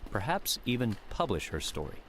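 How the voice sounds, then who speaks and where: A man narrating an audiobook in a steady, measured reading. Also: fairly low pitch, a little hiss or hum.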